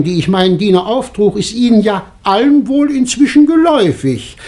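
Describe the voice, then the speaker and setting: A shrewd doctor in an old radio whodunnit. His delivery is very animated in pitch, yet precise and deliberate, with a self-assured, triumphant edge. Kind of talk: 1960s German radio crime drama acting.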